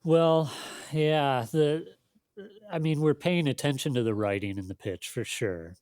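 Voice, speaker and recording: A man speaking as an interviewed editor, casual and halting, thinking aloud with lots of conversational fillers. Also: fairly high pitch, darkish tone, smooth, dry recording, clean studio sound. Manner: thinking aloud, halting, peppered with "you know" and "like". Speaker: magazine editor being interviewed.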